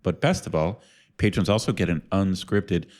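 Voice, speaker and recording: A man co-hosting a podcast, easygoing in manner, with a very deep voice. He reads the show's announcements in a smooth, conversational way. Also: expressive, moderate pace, a little mellow. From United States. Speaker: podcast co-host. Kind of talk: scripted ad read and casual chat.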